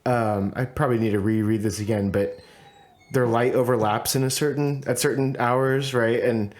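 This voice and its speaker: Mid-pitched male co-host, reflective and searching, casual chat. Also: steady tone, moderate pace, darkish tone. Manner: thoughtful, musing, with many fillers. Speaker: podcast co-host.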